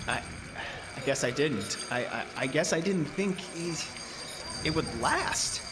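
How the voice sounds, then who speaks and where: An actor playing a blunt, skeptical, exasperated carnival boss in a horror audio drama. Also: male voice, on the high side, animated, a little slow, background noise. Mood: stunned, agitated. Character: gruff, skeptical, harried male carnival boss. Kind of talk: horror audio drama acting with first-person narration.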